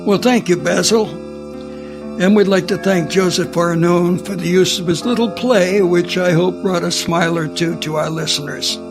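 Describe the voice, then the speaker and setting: An elderly man in his seventies shares reflective stories with his listeners. His voice sits high for a man and crackles with fry. Faint music plays behind him. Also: steady tone, moderate pace. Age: 70s. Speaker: producer and director.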